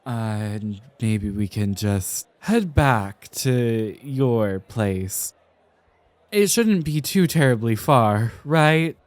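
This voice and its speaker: Man acting as flustered young male scholar, tipsy, affectionately defensive, theatrical diction, slow halting delivery, ASMR roleplay, dry close recording. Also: medium pitch, expressive, no echo. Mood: tipsy, sheepish. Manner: theatrical, enunciated, halting with sighs and flourishes. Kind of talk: ASMR roleplay, acted one-sided conversation.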